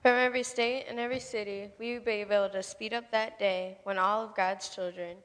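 A woman's voice: medium pitch, fairly even intonation, a little fast, a bit bright. A woman reciting oratory theatrically as a reader in a staged presentation. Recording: echoing hall with long reverb.

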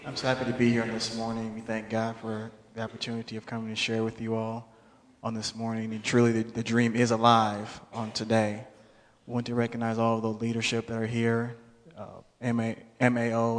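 A man's voice: on the low side, monotone, a little fast. A man giving a public address to an audience in a preacherly manner. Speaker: speaker introducing a program. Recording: long hall reverb.

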